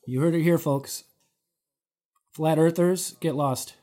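A man's voice: fairly high pitch, fairly even intonation, slow pace, dark tone. A man co-hosting a podcast, upbeat as he reads out listener questions and plugs a website. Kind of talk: casual interview chat.

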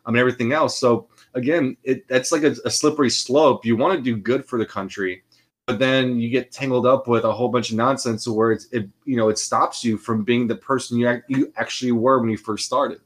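A man's voice: medium pitch, steady tone, a little fast. A man co-hosting a podcast, talking loosely with many restarts and fillers. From Austria. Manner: rambling, full of restarts and fillers. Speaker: podcast co-host. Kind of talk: casual chat.

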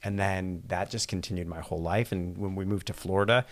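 A middle-aged man from California, a podcast guest talking in a relaxed, rambling way. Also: sits low, fairly quick. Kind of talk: casual chat.

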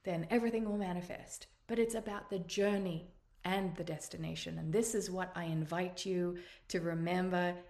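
A woman's voice: on the low side, fairly even intonation, moderate pace, a little mellow. A woman in her forties with an Australian accent, hosting a motivational podcast in an earnest, encouraging tone and drawing out her phrases. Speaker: podcast host. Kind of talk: motivational monologue.

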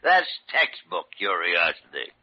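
An actor playing a gruff, irascible older American diagnostician, crusty and dismissive with a halting delivery, in a 1940s radio drama. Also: male voice, sits low, huge pitch swings, heavily acted. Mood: irritable, dismissive. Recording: dull vintage radio recording.

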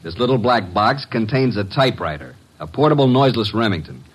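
An actor playing a tough, brash detective's assistant in a classic radio drama, snappy and wisecracking. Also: male voice, fairly low pitch, animated, fairly quick, breathy edge. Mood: brisk, confident. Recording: noisy old radio broadcast recording.

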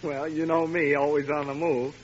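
An actor playing a breezy, falsely chummy, pushy pest in 1940s radio comedy, with a chirpy, ingratiating manner. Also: male voice, fairly high pitch, level tone, moderate pace, natural voice. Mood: smugly ingratiating. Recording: dull, faintly noisy old radio recording.

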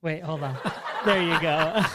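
A man's voice: a little high, very noisy. A man hosting a casual podcast with his father, talking in a playful, jokey way. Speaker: podcast host. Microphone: handheld recorder.

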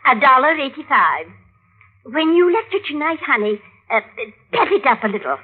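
A coaxing, money-minded stage mother in a vintage radio play. Her high voice swings widely as she wheedles. The recording is tinny and narrow, like an old broadcast. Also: very animated, moderate pace. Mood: wheedling. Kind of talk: 1940s-50s radio acting.

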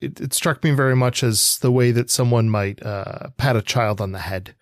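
A man co-hosting a book podcast, working through his analysis in a measured, hesitant, conversational manner. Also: mid-range voice, no echo, studio quality. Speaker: podcast co-host.

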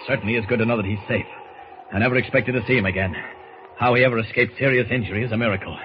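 A man's voice: very fast, natural voice. An actor playing a heroic, protective man in a 1940s radio serial, with a dramatic delivery. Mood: relieved, solemn. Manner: dramatic, shifts between deep hero voice and lighter everyday voice. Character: heroic adult man in two guises, caring, protective. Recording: muffled, noisy old radio recording.

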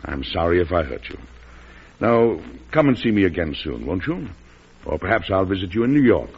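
An actor playing a patient, measured president and protective brother in an old-time radio drama, his voice husky. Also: low-pitched, big pitch swings. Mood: gentle, uneasy. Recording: muffled vintage radio recording.

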